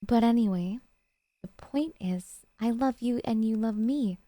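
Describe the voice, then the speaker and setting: A woman's voice: on the high side, measured pace, dark tone, dry recording, natural voice. A woman playing an obsessive, possessive yandere girlfriend in an intimate ASMR roleplay, talking in a coy, cutesy, hesitant way to her sweetheart. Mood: clingy adoring.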